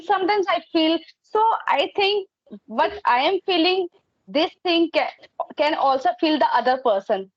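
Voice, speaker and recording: Hesitant high-voiced woman, Hindi native. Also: no echo. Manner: hesitant, searching for words. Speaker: English learner. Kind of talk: casual conversation practice. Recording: dry, dull lo-fi audio.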